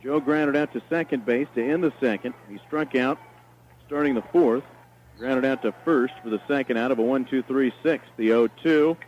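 A man's voice: medium pitch, moderate pace. A man calling a baseball game live on radio as play-by-play announcer, in clipped descriptive phrases. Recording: dull lo-fi broadcast, steady noise.

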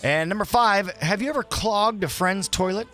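A man co-hosting a radio show, running a quiz game with energetic banter. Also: high voice, moderate pace, faint music behind.

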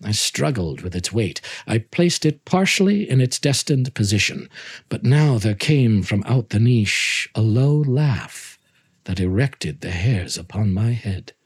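A man's voice: lowish voice, animated, unhurried, breathy edge. A man giving a dramatic literary narration, reading a story aloud in a theatrical, intense and emphatic way.

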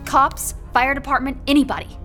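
A woman's voice: high-pitched, steady tone, normal speed, sharp tone, faint music behind. An actress playing a sarcastic, frantic young woman trapped with her partner in a horror audio drama, biting and exasperated. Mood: urgent, frustrated. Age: young adult. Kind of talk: modern horror radio drama acting.

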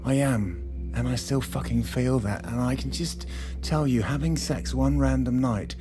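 An actor playing a hurt, angry estranged husband in a naturalistic audio drama, halting and stammering as he repeats himself. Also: medium pitch, over music, natural voice. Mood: angry, exasperated.

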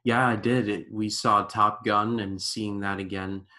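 A man's voice: on the low side, fairly even intonation, measured pace, sharp tone. A man guesting on a casual podcast, enthusiastic and repetitive, full of "like" fillers. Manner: enthusiastic, repeats himself, many "like" fillers.

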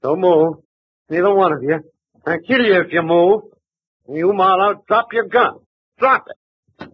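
Give me a gloating, greedy rancher gone mad, high and husky, from a muffled old radio drama. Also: male voice, unhurried. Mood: threatening. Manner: gloating with giddy laughs. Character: greedy, unhinged male rancher turned killer. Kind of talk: 1940s radio acting. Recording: dull, dry old radio recording.

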